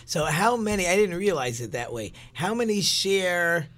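A man hosting an interview, reacting enthusiastically and asking quick follow-up questions. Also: high voice, sing-song, a bit bright. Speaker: interview host. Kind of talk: conversational interview.

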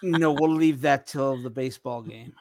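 A man hosting a casual adult podcast chat, talking slowly and haltingly, often repeating words. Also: medium pitch, no echo, clean studio sound. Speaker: host.

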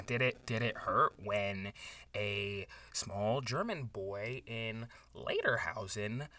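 Petulant young male writer for improv audio comedy: bright, self-pitying, stammering, close dry recording. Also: lowish voice, dry recording, distant mic, full character voice. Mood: wounded, bitter.

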